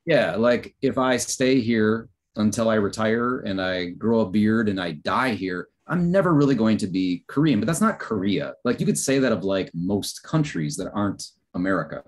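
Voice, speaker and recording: A man's voice: on the low side, lively intonation, normal speed, hardly any pauses. A man in his thirties chatting casually as a podcast co-host, loose and full of fillers. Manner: loose, filler-heavy talk. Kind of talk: casual chat.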